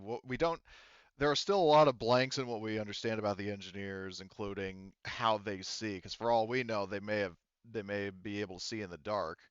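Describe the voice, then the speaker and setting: Low-voiced man, clear and halting game master. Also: fairly bright tone, dry recording, lo-fi sound. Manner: halting, conversational explaining. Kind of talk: improvised tabletop game narration.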